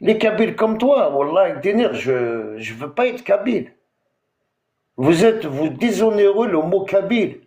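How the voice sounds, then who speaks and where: A man's voice: high voice, big pitch swings, normal speed, a little mellow, roomy sound. A man from Algeria, hosting a TikTok live and ranting at someone with many hesitations. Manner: confrontational, repetitive, full of hesitations. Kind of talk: heated live-stream rant.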